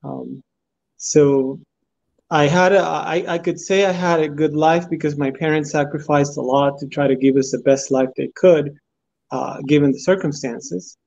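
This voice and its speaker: A man in his forties from Cuba, a guest answering interview questions in an earnest, steady way over his phone. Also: a little high, moderate pace, vocal fry, no room sound. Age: 40s. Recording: dull phone audio.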